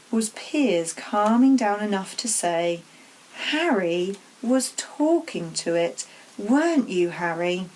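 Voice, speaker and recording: Woman reading a kids book out loud, takes her time, bit husky, medium pitch. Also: poor audio. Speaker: teacher reading to pupils. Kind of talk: children's storybook narration.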